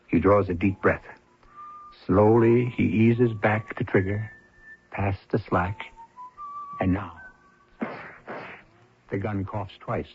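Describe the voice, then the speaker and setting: A man narrating a crime story for a radio drama, deliberate and suspenseful in his delivery. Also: fairly low pitch. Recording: muffled old radio broadcast, faint noise.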